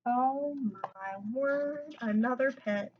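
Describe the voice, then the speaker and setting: High-voiced woman reading a storybook, slightly muffled. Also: takes it slowly, slight background noise. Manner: animated, chatty asides to listeners. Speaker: teacher reading a picture book aloud. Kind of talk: expressive children's read-aloud with asides.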